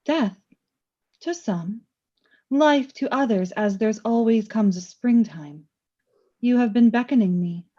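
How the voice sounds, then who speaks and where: A woman playing Death in a radio play as a calm, knowing spirit, speaking in a measured, theatrical way with a taunting edge. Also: a little high, lo-fi sound. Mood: probing. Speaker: voice actor.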